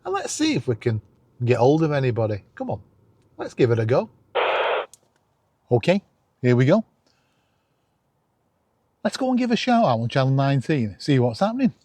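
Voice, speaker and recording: Animated middle-aged man. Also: mid-range voice, normal speed, pauses often, no echo. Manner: self-deprecating, enthusiastic. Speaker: YouTube host. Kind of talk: casual chatty vlog.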